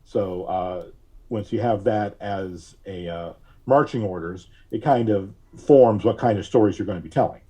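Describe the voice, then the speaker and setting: A man talking casually as a podcast guest, halting and thinking aloud as he goes. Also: fairly low pitch, dark tone, husky, muffled recording. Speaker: audio drama writer. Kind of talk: casual interview talk.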